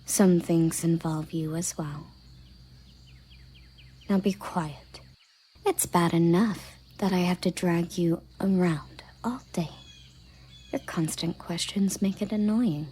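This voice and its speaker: A woman playing a stern, haughty elven guard captain in an audio roleplay, with a soft, breathy, husky voice and a curt, condescending manner. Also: lowish voice, takes it slowly, pauses often. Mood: annoyed, commanding. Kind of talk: audio roleplay addressed to the listener.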